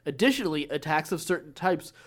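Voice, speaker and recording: A man's voice: on the high side, animated, fairly quick. A man with an American accent co-hosting a podcast, chatting casually and reading out nerd news in an easygoing way. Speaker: podcast co-host.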